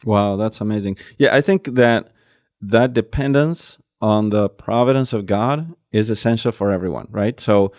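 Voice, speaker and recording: A man's voice: lowish voice, normal speed, darkish tone, no echo, clean studio sound. A man hosting a conversational interview, often checking in with short tag questions. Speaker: podcast host.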